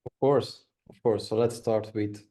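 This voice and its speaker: Young man, mellow voice. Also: on the low side, steady tone, a little slow. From Romania. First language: Hungarian. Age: young adult. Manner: hesitant, repeats words, plain and friendly. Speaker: guest, video editor. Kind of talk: casual interview answers. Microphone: video call.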